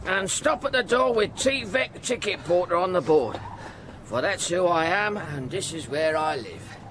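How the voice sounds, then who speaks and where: A man's voice: sits high, expressive, a little slow, fairly bright tone, slight background noise. An actor playing a kindly, poor old ticket porter in a radio drama, stammering and repeating himself as he fusses. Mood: eager, coaxing. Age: older.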